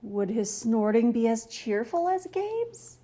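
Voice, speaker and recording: A woman's voice: fairly high pitch, very animated, slow pace, mellow. A woman reading a children's story aloud in an enthusiastic, dramatic narrating style. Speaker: storybook reader.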